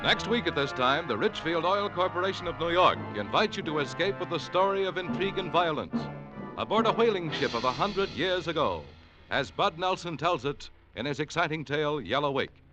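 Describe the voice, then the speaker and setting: A man's voice: on the high side, lively intonation, fairly quick, music in the background, poor audio. A man reading commercials and credits as a 1940s radio announcer, polished and upbeat in the old declaiming style.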